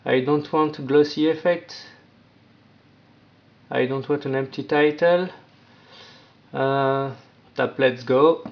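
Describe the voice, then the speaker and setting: A man presenting an informal step-by-step app tutorial, with halting, non-native phrasing. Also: mid-range voice, steady tone, vocal fry. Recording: dull, lo-fi sound.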